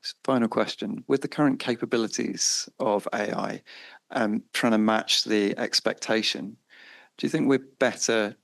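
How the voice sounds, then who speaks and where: A man's voice: fairly low pitch, steady tone, moderate pace, vocal fry, dry recording. A man moderating a panel, asking long, winding questions.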